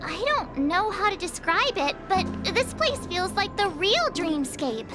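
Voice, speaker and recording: A woman's voice: very high voice, sing-song, loud music behind the voice, exaggerated voice. Bubbly, teasing young woman. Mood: chatty. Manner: bubbly and teasing, quick chatter. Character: cheerful, chatty young woman companion. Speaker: game character. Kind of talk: dubbed game cutscene acting.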